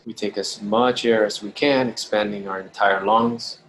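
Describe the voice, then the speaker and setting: A man leading a guided meditation in a slow, deliberate, gentle instructional way, pausing between short phrases. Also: fairly low pitch, fairly even intonation, poor audio. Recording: clearly audible background noise.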